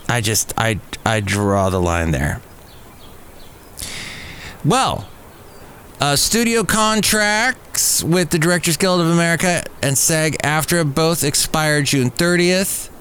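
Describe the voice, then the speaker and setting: A man with a mid-pitched voice talks as a podcast host, going through entertainment news with wry, opinionated commentary. Background noise and ambience sit behind his voice. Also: fairly bright tone.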